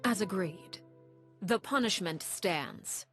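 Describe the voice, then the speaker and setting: A woman playing a stern, composed leader in a video game, speaking in a measured, formal and menacing way. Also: medium pitch, animated, faint music behind. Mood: calm, menacing. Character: stern, commanding woman leader of an orphanage organization, coldly composed.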